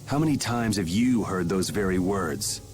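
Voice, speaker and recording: A man playing a cool, grim young vigilante swordsman in a video game, terse and unhurried. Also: sits low, expressive, darkish tone, soft background music. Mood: cold menace.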